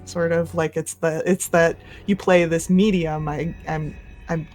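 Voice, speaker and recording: Podcast guest, medium-pitched, informal, thinking aloud as they talk. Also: little pitch movement, measured pace, darkish tone, soft background music. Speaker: podcast guest who plays games for an online audience. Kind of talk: casual, hesitant chat about games.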